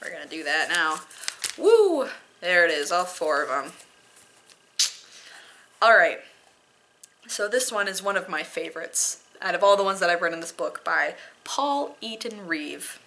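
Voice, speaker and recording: A woman's voice: lowish voice, sing-song, measured pace, pauses often, a bit bright. A woman doing an amateur dramatic poetry reading with casual asides, theatrical and self-deprecating in manner.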